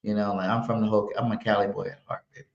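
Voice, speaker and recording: A man's voice: lowish voice, little pitch movement, rapid-fire, gravelly. Hyped man from LA, roomy lo-fi sound. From Los Angeles, California. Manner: hyped, loud bursts, slangy. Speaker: podcast co-host. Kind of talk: casual sports chat.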